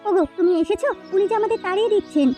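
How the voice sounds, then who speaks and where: A woman playing an anxious, gentle village wife and mother in a cartoon folk tale, speaking in a plaintive, coaxing way. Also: very high voice, rapid-fire, faint music behind. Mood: anxious, pleading. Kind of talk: Bengali cartoon folk-tale dialogue acting.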